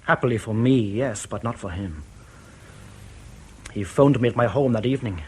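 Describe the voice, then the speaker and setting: A man plays an East German defector in a radio drama. His voice is mid-pitched and slightly husky. He is reflective and guarded, setting out his account with deliberate care. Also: a little mellow, lightly acted. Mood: uneasy, recounting. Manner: measured, deliberate, ruminative.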